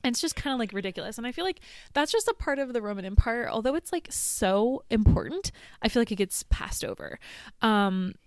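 A woman's voice: on the high side, animated, brisk, smooth, no echo. A woman leaving a casual voice message, gushing and rambling in a slangy way. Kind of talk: casual spoken birthday voice message.

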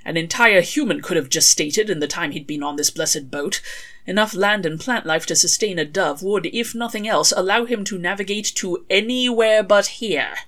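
A woman narrating a scripted story in an expressive, wry storytelling manner. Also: mid-range voice, moderate pace. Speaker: author reading own fan fiction.

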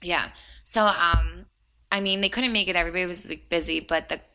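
Chatty, bright-voiced woman in her thirties. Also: on the low side, fairly even intonation, a little fast. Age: 30s. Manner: chatty, giggly, lots of "like" fillers. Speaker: podcast co-host. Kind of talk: casual chat.

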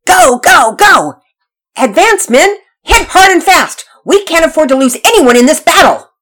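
A woman voicing a bossy, impatient young boy in a children's story, loud and commanding. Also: fairly high pitch, big pitch swings, moderate pace, pitched above the performer's own voice. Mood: commanding, excited.

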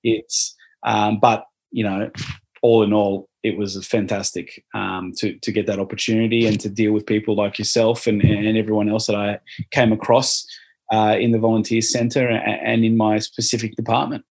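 Australian man, lower register, easygoing rambling interviewee, loose and upbeat. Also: level tone, normal speed, darkish tone. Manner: rambling, upbeat, many fillers and restarts. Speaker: interviewee, former volunteer. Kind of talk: casual conversational interview.

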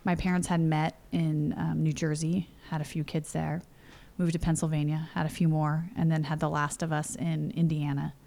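Woman, forties, from California, low voice, gravelly fry, chatty storytelling, lots of fillers. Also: flat delivery, normal speed, few pauses, warm tone. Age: 40s. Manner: chatty, many fillers like "you know" and "like". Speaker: interview guest. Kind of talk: casual storytelling. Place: home.

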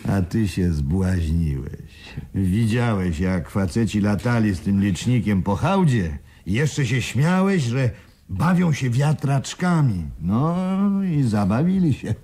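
An actor in a radio play playing a shrewd, sardonic business partner with a dry, chiding manner. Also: male voice, fairly low pitch, sing-song, slow, dark tone, breathy edge, full character voice. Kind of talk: 1980s Polish radio play acting.

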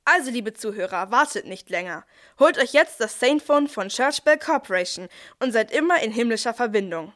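Woman as brisk take-charge archangel, scripted church audio play, highish voice. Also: animated, a bit bright. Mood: salesy, upbeat. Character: brisk, managing archangel Gabriel narrating and pitching.

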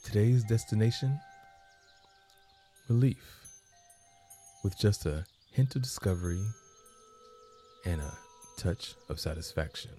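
Very deep, slow-spoken man guiding meditation. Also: expressive, dark tone, faint music behind. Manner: slow, measured phrasing with long pauses. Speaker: meditation guide and podcast host. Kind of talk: guided meditation narration.

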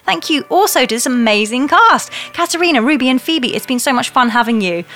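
A woman's voice: high voice, lively intonation, brisk, fairly bright tone. A woman hosting a podcast casually, with an enthusiastic, encouraging manner. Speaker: podcast host.

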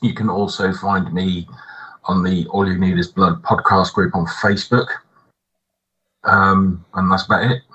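A man's voice: sits low, level tone, a little slow, pushed and bright. A British man in his late forties chatting casually as a podcast co-host, on a dull mic. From United Kingdom. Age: late 40s. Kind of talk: casual chat.

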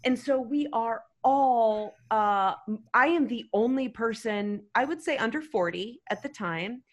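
A woman's voice: on the high side, unhurried. A woman telling a comedic story to a podcast panel, rambling and emphatic. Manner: animated, rambling, emphatic with "freaking". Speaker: guest storyteller.

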